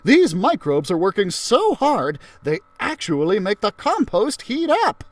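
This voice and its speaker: Man reading as an excitable woman science teacher. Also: high voice, a little slow, no echo, pitched above the performer's own voice, full character voice. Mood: eager explaining. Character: enthusiastic, eccentric woman science teacher explaining things.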